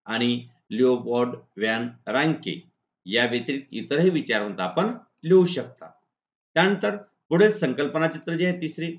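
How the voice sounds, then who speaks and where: A man with a Marathi accent narrates an exam-prep lesson in an echoey room, with slightly dull, muffled audio. His voice sits at a medium pitch. He works methodically through each point like an instructor, reading out items one by one. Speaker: teacher presenting exam answers.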